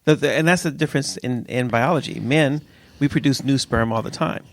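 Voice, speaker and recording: A man's voice: mid-range voice, fairly quick, dry recording. A middle-aged man co-hosting a podcast, joking and opinionated in casual banter. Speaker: podcast co-host.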